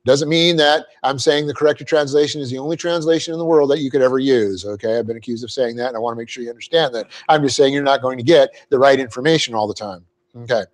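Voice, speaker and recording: A man teaching a Bible class, explaining Greek grammar step by step, spelling out words and clearing his throat often. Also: medium pitch, fast. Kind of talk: verse-by-verse Bible class lecture.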